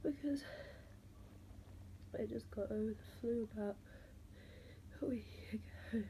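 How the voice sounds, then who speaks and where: A woman with a stuffy cold, talking slowly and half-whispering in a casual vlog, with a halting, sighing manner. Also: on the high side, mellow. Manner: slow, halting, with sighs and fillers. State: congested with a cold, stuffy nose. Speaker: vlogger. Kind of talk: casual to-camera chat. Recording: noisy background hiss.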